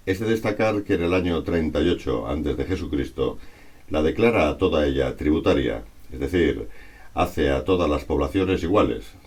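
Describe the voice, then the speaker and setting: A man from reads a scripted historical narration in a formal, measured, bookish manner. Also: very deep voice, darkish tone.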